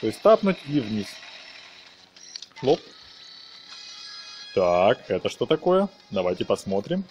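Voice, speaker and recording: A man's voice: lowish voice, big pitch swings, slow, long pauses, darkish tone, slight background noise. A man giving a casual, playful walkthrough commentary on a video game, chatting to the characters as he plays. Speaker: gaming video host.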